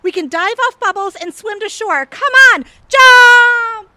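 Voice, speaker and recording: A woman in an audio comedy playing a perky, naive, squeaky-voiced young bimbo actress with a chirpy, sunny manner. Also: unhurried, noisy background. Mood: urgent, encouraging. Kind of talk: audio comedy drama acting.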